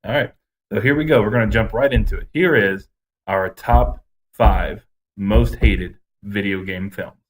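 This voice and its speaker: A man's voice: lowish voice, measured pace, poor audio. A man co-hosting a podcast in casual, agreeable conversation. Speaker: podcast co-host.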